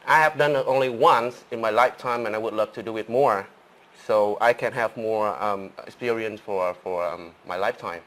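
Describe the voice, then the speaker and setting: A man's voice: fairly low pitch, moderate pace, a bit bright, rough recording. A Vietnamese man with a Vietnamese accent, co-hosting a live English lesson and explaining things in simple words as he rambles. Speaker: co-host.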